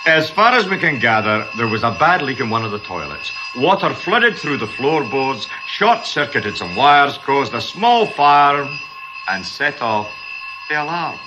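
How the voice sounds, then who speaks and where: Office official, radio drama, slow talker but very up and down, noisy behind. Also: male voice, medium pitch, big pitch swings. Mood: explaining. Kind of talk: British radio drama acting. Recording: clear noise behind the voice.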